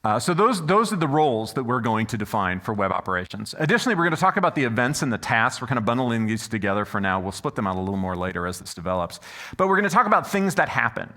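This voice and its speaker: Fast, bright-voiced male conference speaker. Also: medium pitch. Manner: loud, animated presenter. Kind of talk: conference presentation. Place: conference room before an audience. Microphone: stage microphone.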